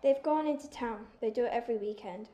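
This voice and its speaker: A female performer playing a timid, kindly bullied orphan girl in a wartime drama, hesitant and gentle. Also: fairly high pitch, quick pace, dull audio, close to an everyday voice. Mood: subdued.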